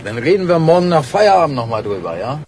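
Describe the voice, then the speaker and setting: A man's voice: fairly high pitch, sing-song, quick pace, a little mellow. An actor playing a placating, defensive male workmate in a radio crime drama. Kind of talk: naturalistic 1980s German radio crime drama acting. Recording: faint noise and ambience.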